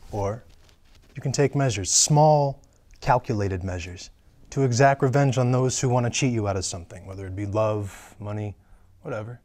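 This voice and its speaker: An actor reading a calm, menacing male caller in a table reading, measured and taunting. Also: on the low side, close to an everyday voice.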